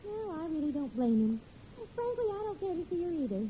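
A woman's voice: extremely high pitch, big pitch swings, fairly quick, mellow, slight background noise. A patient, sensible wife from a vintage radio comedy. Her delivery is dry and domestic. The sound is dulled, like an old broadcast. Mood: resigned, conciliatory. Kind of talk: 1950s radio sitcom acting. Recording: muffled vintage radio recording.

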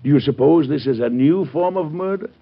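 A man's voice: fairly high pitch, brisk, vocal fry, slightly rough. An American man acting an earnest, formal, beleaguered but resolute president in an old-time radio drama. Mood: dry, exasperated. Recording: muffled old radio show recording.

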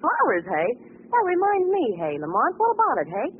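A woman playing an excited, playful, elegant young lady companion in 1940s radio acting. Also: very high voice, brisk, exaggerated voice. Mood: playful, coaxing. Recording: tinny, noisy old radio recording.

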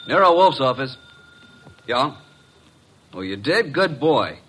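A man's voice: mid-range voice, very animated, takes it slowly, fairly bright tone, husky, full character voice. A man playing a cocky, slangy detective's assistant in an old-time radio drama, breezy and wry. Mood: businesslike. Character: wisecracking tough detective's legman, cocky and loyal.

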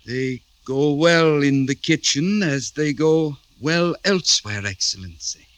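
An actor playing a sly, fearful serf informer in a 1950s radio drama, servile and pleading. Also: male voice, on the high side, lively intonation, a little slow, a bit bright, no echo. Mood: sullen, servile. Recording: vintage radio recording.

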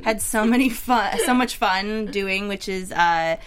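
A woman's voice: medium pitch, a little slow, smooth. A woman co-hosting a casual chat about a TV episode, rambling with fillers. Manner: rambling, with frequent fillers and laughs. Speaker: podcast co-host.

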